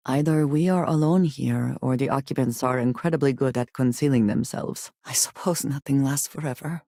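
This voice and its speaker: A woman voicing a guarded, earnest space explorer in a video game, with measured, formal phrasing and few contractions. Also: a bass voice, warm tone, no echo, clean studio sound. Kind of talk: scripted video game companion dialogue.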